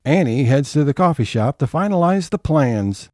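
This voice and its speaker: A man's voice: fairly high pitch, lively intonation, normal speed, dark tone, close to an everyday voice. A man narrating scene changes in a scripted comic audio drama.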